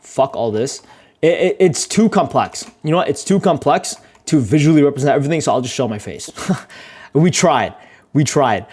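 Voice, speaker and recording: Rambling, self-mocking young guy streaming. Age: young adult. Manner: rambling, self-mocking, swears casually, laughs often; joking, gets louder and higher when telling stories. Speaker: video creator live-streaming his editing. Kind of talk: casual livestream chat while editing.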